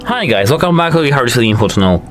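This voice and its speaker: A man's voice: mid-range voice, big pitch swings, rapid-fire, soft background music. A man hosting a casual phone tutorial, explaining each step and repeating "as you can see". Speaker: tech tutorial host. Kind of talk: casual phone how-to walkthrough.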